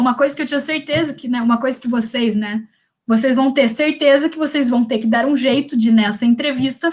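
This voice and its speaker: A young woman with a Brazilian Portuguese accent leads a career workshop in a casual, slangy way, often restarting her sentences. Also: sits high. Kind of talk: informal workshop talk.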